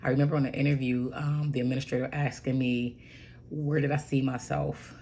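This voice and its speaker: A hoarse woman in her forties with a raspy voice, rambling through a casual story with lots of fillers and "right?" asides. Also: rumbling low, level tone, brisk, mellow, slight background noise. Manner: rambling, goes off on tangents with many fillers; emphatic, keeps asking "right?". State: hoarse from bronchitis. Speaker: registered nurse.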